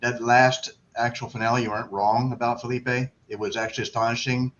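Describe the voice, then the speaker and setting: Brash, sharp-voiced man on noisy lo-fi call. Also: medium pitch, level tone, fairly quick. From Florida. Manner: brash, self-deprecating, joking. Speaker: guest on an online networking livestream. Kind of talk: casual chat. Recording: dull lo-fi webcam audio, loud background noise.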